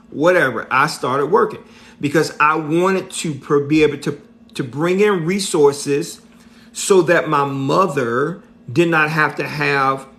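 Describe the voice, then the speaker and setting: A middle-aged man giving a motivational talk on a livestream, slow and preachy, repeating his points and often checking in with "right?". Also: fairly high pitch, fairly bright tone. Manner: slow and deliberate. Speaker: motivational speaker.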